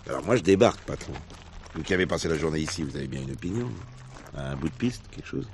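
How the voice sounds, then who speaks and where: An actor playing a young, deferential police inspector in a French crime drama, brisk and informal with his superior. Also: very deep voice, lively intonation, darkish tone, slight background noise. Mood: curious. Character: young male police inspector, deferential, eager former trainee.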